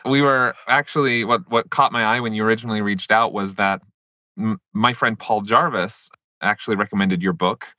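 Podcast host: low voice, bright tone, dry close mic, friendly questioning. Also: male voice, fairly even intonation, normal speed, no echo. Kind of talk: casual podcast interview.

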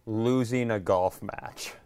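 A man with a fairly low voice acts as a podcast co-host. He keeps it casual, chipping in with short remarks and acknowledgements. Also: slow pace, darkish tone, clean tone. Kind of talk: casual chat, brief interjections.

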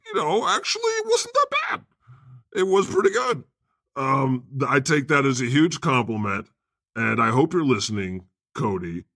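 Lively male comedy podcast host. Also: medium pitch, dry recording, studio quality. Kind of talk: improvised comedy podcast banter.

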